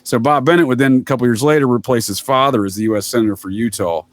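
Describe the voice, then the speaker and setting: A man's voice: medium pitch, fairly even intonation, fast talker, dry recording. Wry male podcast guest, dry sound. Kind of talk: casual anecdotal explaining.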